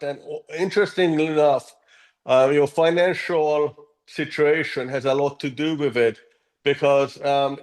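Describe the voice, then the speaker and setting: Hungarian-born man, mid-pitched, measured interview guest who often restarts his sentences. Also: darkish tone. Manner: earnest, measured, with frequent ums and restarts. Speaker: app co-founder, guest. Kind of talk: interview answers.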